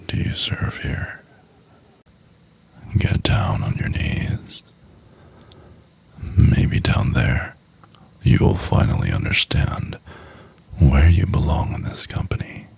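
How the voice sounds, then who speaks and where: A man playing a cold, contemptuous CEO in an ASMR roleplay, talking slowly and condescendingly in a breathy, often half-whispered voice. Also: a little mellow, full character voice. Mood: scornful. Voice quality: very deep, gravelly, breathy. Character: cold, contemptuous, domineering male CEO berating an intern.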